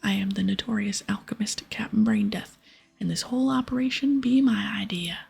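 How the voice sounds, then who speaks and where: A woman voicing a gruff, dramatic male pirate captain in archaic sea-dog talk, half-whispered as in an ASMR game read-aloud. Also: mid-range voice, a little fast, exaggerated voice. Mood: grandiose, grave. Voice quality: breathy, half-whispered, gravelly. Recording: soft music behind.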